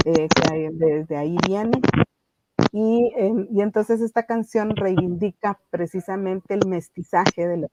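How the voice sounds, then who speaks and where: A woman with a Guatemalan Spanish accent, speaking reflectively and haltingly as a guest in an interview. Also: fairly low pitch. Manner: thoughtful, halting delivery. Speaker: activist guest. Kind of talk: reflective radio interview talk. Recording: slightly dull, lo-fi audio.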